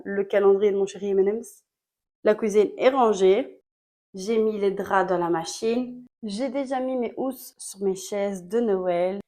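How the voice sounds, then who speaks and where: Woman, vlogger, mellow tone, candid rambling chat, slight cold, bedroom, phone camera. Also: medium pitch. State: has a cold. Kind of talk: casual vlog chat to camera. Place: home bedroom.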